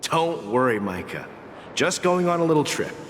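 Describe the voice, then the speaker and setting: A man's voice: fairly high pitch, sing-song, moderate pace, a bit bright, big-room sound, slight background noise. A man voicing a kindly old veteran space wanderer, bold and hopeful, in a video game cutscene. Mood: reassuring, determined.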